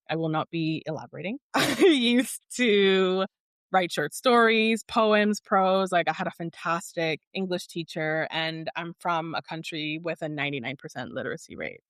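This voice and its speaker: Woman from barbados, 30s, podcast guest, lowish voice, says like a lot, dry close mic. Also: fairly bright tone, no room sound. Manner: articulate, thoughtful, heavy use of "like". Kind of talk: reflective conversational interview.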